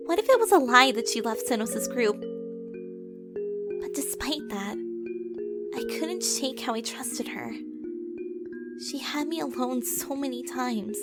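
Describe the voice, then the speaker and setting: Audio drama narrator: woman playing an earnest young heroine with a wounded past, high voice, solemn, over prominent music. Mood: somber, reflective. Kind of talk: scripted first-person audio drama narration.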